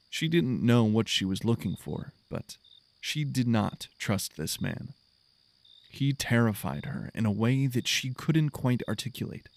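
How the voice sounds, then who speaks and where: A man narrating a scripted story in a measured, solemn storytelling manner. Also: medium pitch, gravelly.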